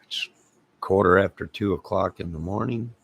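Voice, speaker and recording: A middle-aged man, hoarse from singing, rambling casually to viewers on a late-night livestream and greeting them one by one. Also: sits low, moderate pace. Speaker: livestream host.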